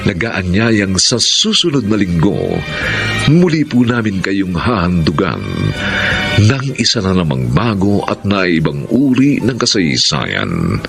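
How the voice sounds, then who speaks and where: Male drama announcer, low, unhurried, formal, theatrical, dramatically varied pitch, prominent music. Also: very animated, creaky. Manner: grand, measured, theatrical announcing. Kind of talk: formal scripted narration.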